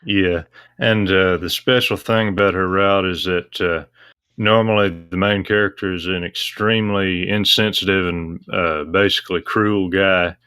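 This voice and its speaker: A man explaining things casually as a guest, in a hesitant, measured way. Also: low-pitched, steady tone, sharp tone, clean tone, no echo.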